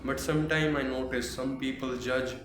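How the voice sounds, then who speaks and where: A man from Haryana with an Indian accent, practicing English and pausing to find words. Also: medium pitch, fairly even intonation. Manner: hesitant, searching for words. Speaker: English learner. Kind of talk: practice conversation. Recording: roomy room with noise.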